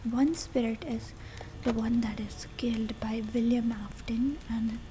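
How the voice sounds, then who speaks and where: An Indian-accented woman speaks in a soft, whispered ASMR style, pitched a little high. She chats as a girlfriend would while playing a game, with clear background noise behind her. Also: steady tone, a little slow, poor audio. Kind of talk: whispered ASMR roleplay chat over gameplay.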